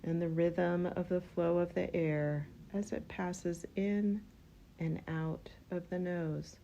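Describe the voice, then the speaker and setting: A woman with a low, mellow voice guides a relaxation meditation. She speaks very slowly in short, gentle phrases, leaving room between them. Her delivery is unhurried and instructional, with a heavy creak in the voice. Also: fairly even intonation, highs cut off, a little hiss or hum. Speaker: yoga nidra meditation guide.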